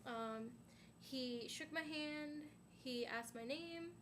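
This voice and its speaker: A young woman talks to her camera in a loose, rambling way, her fairly high voice full of "um"s and "like"s. She sounds a little stuffy and sniffly from a sinus infection. A faint hiss sits under the recording. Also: slow pace, fairly bright tone. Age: young adult. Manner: rambling, many "um"s and "like"s. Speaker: vlogger. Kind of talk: casual chat to camera.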